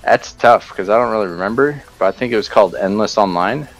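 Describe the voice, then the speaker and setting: A man's voice: lowish voice, fast talker, low-quality recording. A man in his thirties chatting casually with friends while gaming, cracking dry, teasing jokes. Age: 30s. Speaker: co-streamer friend. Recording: faint game music behind.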